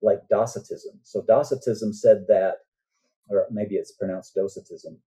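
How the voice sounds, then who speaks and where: A man's voice: fairly low pitch, steady tone, unhurried, warm tone, breathy edge. A man speaking as an author guest on a podcast, explaining things earnestly in a conversational way and often checking in with the listener.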